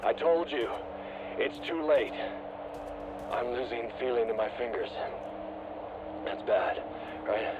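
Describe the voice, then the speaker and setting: An actor playing a wounded, dying field agent in a game audio log, stoic and selfless, speaking haltingly over a radio. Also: male voice, mid-range voice, expressive. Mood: fading. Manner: halting, weary, weakened speech. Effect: radio transmission. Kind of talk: dramatic acting in a video game audio log. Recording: narrow, noisy radio-filtered sound.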